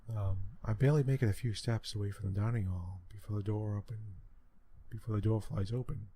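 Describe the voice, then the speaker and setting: A man softly narrating a visual novel in an ASMR style, with hushed, unhurried, halting delivery and asides to listeners. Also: on the low side, fairly even intonation. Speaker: ASMR let's play host and reader. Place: room with windows open near a highway. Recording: clear background noise, open window.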